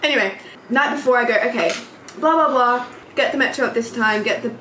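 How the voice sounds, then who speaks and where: A young adult woman with an Australian accent, giggly and animated, telling stories in casual chat with friends. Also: on the high side, brisk. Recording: roomy echo with background noise.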